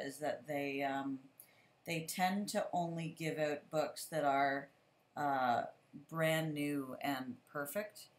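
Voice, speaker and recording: Low-voiced woman in casual video chat. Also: a bit bright. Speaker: teacher and show host. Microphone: video call. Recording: echoey room.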